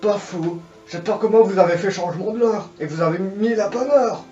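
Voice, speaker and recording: A man voicing a clueless, easily offended young man who whines in a high, naive voice. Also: moderate pace, mellow, natural voice. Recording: echoey lo-fi room with faint music.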